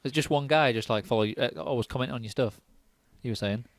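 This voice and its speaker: A man hosting a podcast in a casual chat, quick and chatty, asking follow-up questions. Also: medium pitch, dry recording. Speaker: podcast host.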